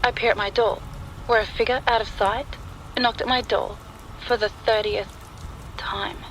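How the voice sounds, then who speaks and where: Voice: mid-range voice, unhurried, low-quality recording. A narrator reading a scripted first-person horror story, with rain and thunder sounds behind the voice. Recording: clear steady rain and storm noise. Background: rain and thunder sound effects.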